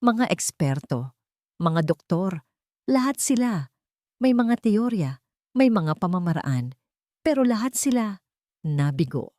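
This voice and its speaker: A woman's voice: fairly low pitch, sing-song, slow, pauses often, mellow, dry recording, studio quality. A woman voicing a cold, tired, bitter billionaire father in an audiobook drama, commanding and without emotion. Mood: cold, weary.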